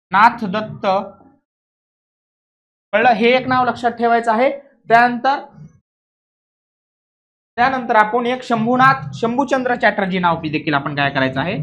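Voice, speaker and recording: A man's voice: very high voice, a bit bright. A man lectures as an exam coach, working through questions step by step. He repeats the important points and regularly breaks off with short questions to check that students follow.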